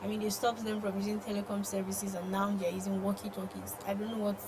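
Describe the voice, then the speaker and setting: Woman, Nigerian accent, talk show co-host, conversational news discussion, mid-pitched voice, clearly noisy recording. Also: little pitch movement, quick pace. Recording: noisy room ambience.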